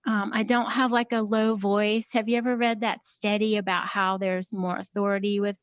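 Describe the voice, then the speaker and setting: A woman with a high voice talking casually as a podcast guest, soft-spoken and hesitant, with many fillers. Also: flat delivery, moderate pace, dry recording. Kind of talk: casual conversation.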